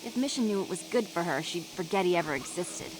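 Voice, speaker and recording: A woman's voice: fairly low pitch, animated, fast, noisy background, lightly acted. An actress voicing a jaded, blunt young woman in a video game, exasperated and plain-spoken. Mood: exasperated, bitter. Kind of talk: video game character dialogue.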